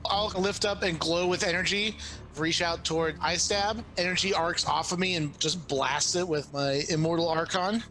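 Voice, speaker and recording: A man's voice: fairly high pitch, steady tone, normal speed, pushed and bright, poor audio. A man playing a tabletop game, describing his character's actions as a player.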